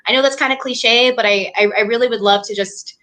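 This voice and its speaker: A woman's voice: a little high, steady tone, a little fast, pushed and bright. A young woman from New Hampshire, a doctoral student talking casually as an interview guest, chatty with frequent fillers and laughs. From New Hampshire, USA. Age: young adult.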